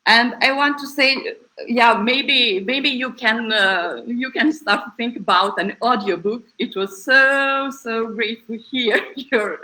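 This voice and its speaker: A woman with a Romanian accent speaks slowly in English, pausing often and restarting her sentences. Her tone is bright and sharp. She sounds like a native Romanian speaker taking her turn on a discussion panel. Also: fairly high pitch, poor audio. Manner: hesitant, many fillers and restarts.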